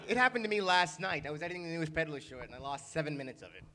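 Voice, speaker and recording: A man's voice: on the high side, animated, very fast, bright, forceful tone. A man telling casual anecdotes as a panelist at a fan convention. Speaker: convention panelist and audio editor. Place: convention meeting room.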